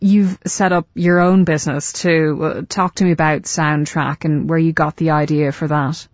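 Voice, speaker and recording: A woman's voice: low voice, fairly even intonation, moderate pace, no room sound, dull audio. A woman presenting a radio programme and putting questions to a guest in a broadcast interview style.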